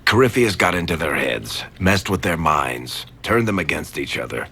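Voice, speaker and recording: Low-voiced male companion. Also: very animated, moderate pace, bright, forceful tone, breathy edge, heavily acted. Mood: serious. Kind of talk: video game dialogue acting.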